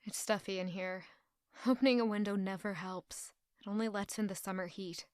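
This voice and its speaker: A woman's voice: mid-range voice, fairly even intonation, normal speed, no echo, studio quality, close to an everyday voice. An actress playing an anguished, proud young court lady in an audio drama, brooding intimately in a dramatic first-person monologue. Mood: weary, brooding. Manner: intimate, brooding, emotionally intense.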